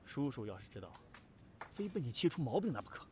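A man's voice: mid-range voice, very animated, fast, warm tone, slight background noise, lightly acted. A man acting a stern, responsible friend in an audio drama, with a scolding, no-nonsense manner. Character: stern, responsible male friend who scolds and looks after others.

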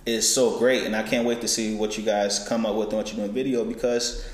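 A man's voice: on the low side, little pitch movement, fairly quick. A man in his twenties, a real estate broker, chatting casually and laughing often in an interview. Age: 20s. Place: indoor office building. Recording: echoey room with faint noise.

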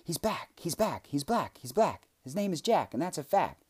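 A man voicing an excitable young male creature who rambles in rapid, overexcited chatter. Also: low-pitched, creaky, slightly rough, exaggerated voice.

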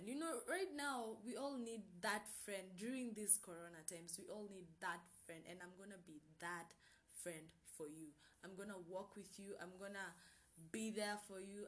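A woman's voice: mid-range voice, a bit bright. A young woman with a Kenyan accent hosting her own podcast, talking in a bubbly, hyped, chatty way and mixing in Swahili slang. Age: 20s. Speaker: podcast host. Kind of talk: casual solo podcast intro.

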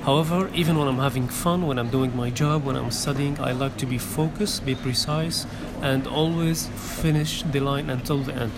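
A man's voice: medium pitch, fairly even intonation, normal speed, hardly any pauses, background noise. A man in his twenties from Syria, talking as a podcast guest in an earnest, hesitant way with many fillers. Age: 20s. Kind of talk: casual interview chat.

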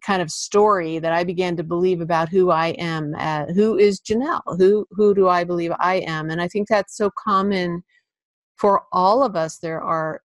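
A soft-voiced woman hosting a podcast, gently asking probing interview questions. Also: fairly low pitch, fairly even intonation, normal speed, no room sound, dull audio. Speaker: podcast host. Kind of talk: conversational interview.

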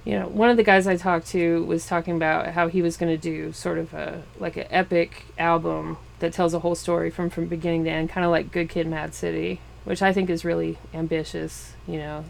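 Female interviewer, forties, low gravelly voice, chatty with frequent laughter. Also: little pitch movement, fairly quick, few pauses, low-quality recording. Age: 40s. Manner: chatty, laughs often, trailing fillers. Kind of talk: casual interview chat. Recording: faint background noise.

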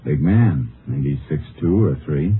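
An actor playing a steady, methodical Texas Ranger in a 1950s radio drama, speaking in a terse, matter-of-fact way. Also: male voice, extremely low pitch, takes it slowly, a little hiss or hum, full character voice. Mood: calm, deliberate. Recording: lo-fi 1950 radio broadcast, music underneath.

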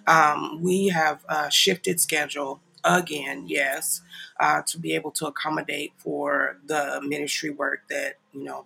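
Man, stuffy nasal voice, heavy creak, bright tone, informal devotional livestream host. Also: on the high side, a little hiss or hum. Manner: informal, apologetic, chuckling asides. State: congested from a stuffy nose. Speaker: online prayer ministry leader and host. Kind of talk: casual livestream devotional talk to followers.

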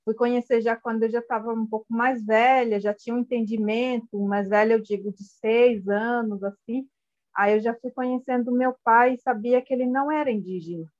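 Soft-spoken woman, lo-fi. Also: on the high side, steady tone, moderate pace. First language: Guarani-Mbyá. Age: 30s. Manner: searching for words, gentle and reflective. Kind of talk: personal testimony. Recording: dull lo-fi audio.

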